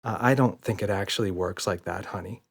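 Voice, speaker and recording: A man's voice: fairly low pitch, a little fast, creaky, breathy edge. A man voicing a playful, reassuring father in a children's audiobook.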